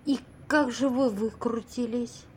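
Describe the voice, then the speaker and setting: A woman's voice: a little high, steady tone, measured pace, darkish tone, slight background noise, lightly acted. An actress in an amateur audio play playing a naive, curious young woman, questioning and a little hesitant.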